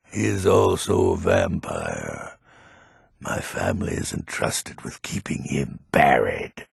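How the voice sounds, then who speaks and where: Gravelly, grumpy old fantasy nobleman. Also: on the low side, dry recording, studio quality, heavily acted. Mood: grave, urgent. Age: older. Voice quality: gravelly, raspy. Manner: gruff, curt, impatient. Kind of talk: video game character dialogue.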